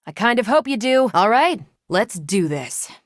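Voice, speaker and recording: A woman's voice: mid-range voice, big pitch swings, measured pace, no echo. A woman voicing a confident, wry superheroine soldier in a video game, with a dry, teasing, self-assured delivery. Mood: fired up.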